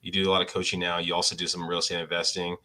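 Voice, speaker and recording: A man with a low, deep voice hosting a casual business podcast. He asks his questions in a loose, rambling way and laughs easily between them. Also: monotone, rapid-fire, bright, forceful tone. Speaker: podcast host.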